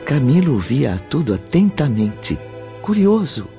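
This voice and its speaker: A man's voice: mid-range voice, sing-song, measured pace, gravelly. A Brazilian man narrating a scripted radio drama as a literary storyteller. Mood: measured. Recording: muffled, with music underneath.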